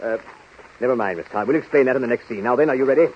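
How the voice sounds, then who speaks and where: A man's voice: medium pitch, racing, lightly acted. An actor playing an exasperated film director, straining for patience as he spells out instructions in 1930s radio comedy style. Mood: coaxing, weary. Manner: emphatic, spelled-out coaxing instructions. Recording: noisy, muffled 1930s radio recording.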